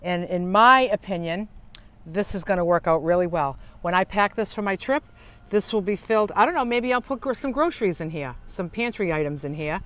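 Older lady vlogger, smooth clear voice, chatty how-to talk while she works. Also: medium pitch, animated, a little fast, fairly bright tone. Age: older, senior. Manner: chatty, hands-on, explaining while working. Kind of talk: casual how-to van tour. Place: outdoors at the open side door of a camper van. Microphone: small clip-on lavalier mic.

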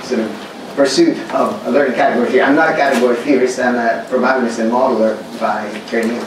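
A man from Greece with a Greek accent, giving a thoughtful academic lecture with many pauses and fillers, recorded in a large lecture hall from a distant mic. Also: mid-range voice. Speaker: workshop speaker. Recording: echoey hall recorded from far off, noisy.

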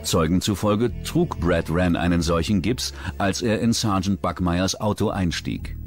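Deep-voiced male documentary narrator. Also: music in the background. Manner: measured, serious. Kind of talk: scripted true-crime narration.

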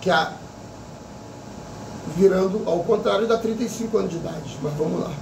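A man's voice: sits high, moderate pace, vocal fry, echoey room, recorded from a distance, background noise. A man in his fifties, a psychic vlogger giving an opinionated casual monologue full of repetition and rhetorical questions. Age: 50s.

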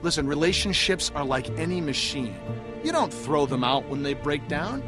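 An actor playing a reasonable middle-aged ex-teacher in a video game drama, measured, reassuring and philosophical. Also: male voice, a little high, animated, music in the background, lightly acted. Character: gentle, reasonable older ex-teacher, peacemaking survivor.

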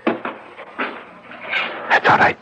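An actor playing a wealthy, lovesick, haunted businessman in a 1970s radio drama, fervent and halting. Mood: grieving, haunted. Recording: dull, noisy old radio broadcast.

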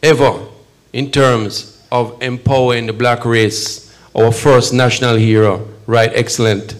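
A middle-aged man with a Jamaican accent giving a measured, emphatic public speech at a podium microphone in an event hall. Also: medium pitch, steady tone. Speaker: consul general, guest speaker at podium. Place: event hall, at a podium before a seated audience.

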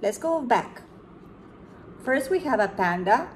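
Teacher lady reading a kids book to the class from home, high voice, slow and expressive, bit of faint room noise. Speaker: pre-kindergarten teacher. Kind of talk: reading a picture book aloud to children. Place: room at home with window blinds.

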